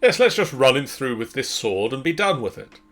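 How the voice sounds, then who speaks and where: A man's voice: medium pitch, sing-song, normal speed, fairly bright tone. A man joking wryly in casual banter as a player in a storytelling game. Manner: joking, wry. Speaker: player in a group gamebook session.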